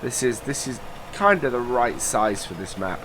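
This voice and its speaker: A man's voice: mid-range voice, expressive, moderate pace. Guy, bunged up and nasally from a cold, rambly gaming chat, faint engine hum behind. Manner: chatty, rambling, many uh fillers. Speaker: gaming channel host. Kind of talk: casual let's-play commentary.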